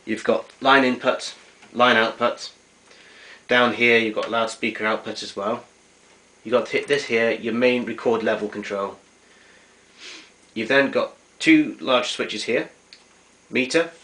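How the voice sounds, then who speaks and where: A man's voice: mid-range voice, steady tone, measured pace, pauses often, sharp tone. A man giving an unscripted, matter-of-fact hands-on walkthrough of equipment, explaining it as a collector would. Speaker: vintage tape recorder collector giving a demonstration. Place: indoors in a domestic kitchen. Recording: noisy amateur camera sound.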